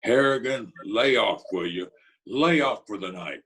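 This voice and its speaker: A man's voice: lowish voice, animated, measured pace, fairly bright tone. A man playing a confident, persuasive schemer with an announcer's manner in an amateur radio play. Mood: pleading. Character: confident smooth-talking male schemer playing master of ceremonies. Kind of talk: amateur old-time radio play acting.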